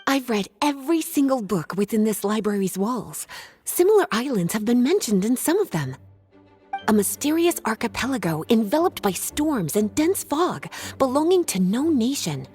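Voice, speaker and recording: A poised, knowing librarian from a video game. Her fairly high voice lilts expressively as she speaks in teasing, formal phrases. Soft music sits behind her. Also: very animated, moderate pace. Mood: mysterious. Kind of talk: scripted video game dialogue and storytelling.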